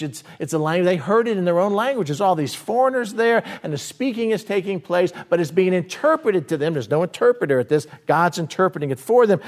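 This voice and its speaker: Animated preaching man, fairly high voice. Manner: animated preaching with sudden swings in pace and loudness. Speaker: pastor. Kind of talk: sermon teaching on scripture.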